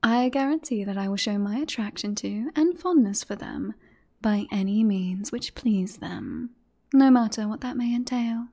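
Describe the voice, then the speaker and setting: Woman voicing fantasy queen, British accent, highish voice, soft mellow tone, breathy whispers, playful, seductive, ASMR roleplay. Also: normal speed. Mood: seductive, devoted. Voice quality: breathy, often dropping to a whisper. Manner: playful, silky, formal courtly wording.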